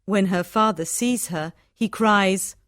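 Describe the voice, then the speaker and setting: A woman storyteller reading a scripted fairytale for English learners in measured, short, simple phrases. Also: medium pitch, fairly bright tone, no echo, clean studio sound.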